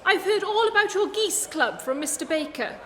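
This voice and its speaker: A woman plays a shrewd Victorian gentleman detective in a comic radio play. The voice is high, formal and precise, with a commanding briskness, and carries long reverb. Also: expressive. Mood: inquisitive.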